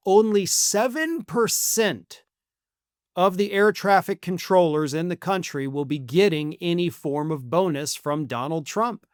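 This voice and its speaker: A man's voice: high-pitched, clean tone, dry recording, clean studio sound. An American man delivering opinionated news commentary as a host, in an emphatic, sarcastic and colloquial way. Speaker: political commentator and channel host.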